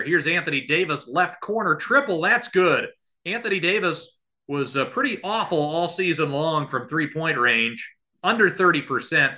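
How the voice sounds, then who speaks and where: A man calling live basketball play-by-play as a podcast host, somewhat halting between plays. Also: a little high, sharp tone.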